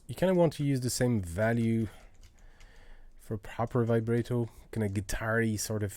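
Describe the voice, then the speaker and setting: A low-voiced man chatting casually as he works through a live music-making tutorial, halting and thinking aloud. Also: mellow, dry recording. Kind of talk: casual tutorial chat while working. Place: indoors at a computer.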